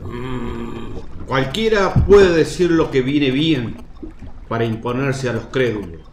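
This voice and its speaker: A scornful, gruff fisherman in a radio play. He speaks slowly with big swings of pitch, dismissing everything he hears. The sound is roomy. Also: expressive. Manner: mocking, dismissive. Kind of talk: radio drama acting. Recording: roomy, faint noise, water ambience.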